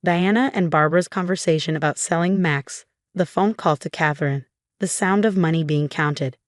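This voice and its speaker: A woman's voice: lowish voice, moderate pace, no echo, clean studio sound. A woman reading a scripted first-person dramatic story as its narrator.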